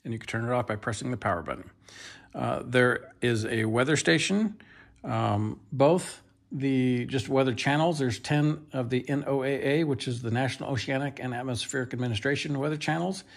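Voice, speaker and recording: A man reviews a product in an off-the-cuff, casual way. His voice has a gravelly fry to it. He talks plainly and haltingly, as if working through features at home in his kitchen. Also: mid-range voice. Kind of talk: casual unscripted product demonstration.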